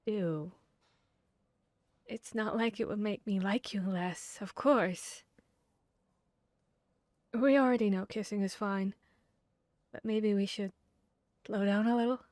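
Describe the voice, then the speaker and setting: A woman playing a guarded, bashful vampire hunter in an intimate ASMR roleplay, speaking earnestly and haltingly. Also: medium pitch, dry recording, studio quality, close to an everyday voice. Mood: flustered, awkward. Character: guarded, bashful female vampire hunter confessing tender feelings. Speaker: audio roleplay voice actor.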